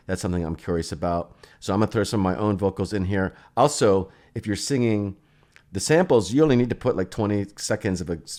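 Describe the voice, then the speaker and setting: A man giving a casual, rambling software demo, thinking aloud as he goes. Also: low-pitched. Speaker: plugin reviewer and host.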